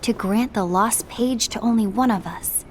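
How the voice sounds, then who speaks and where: An actress playing a composed, diplomatic young woman in a fantasy game, speaking formally and with measured politeness. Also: on the high side, slight background noise, natural voice. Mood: concerned. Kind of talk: scripted game cinematic acting.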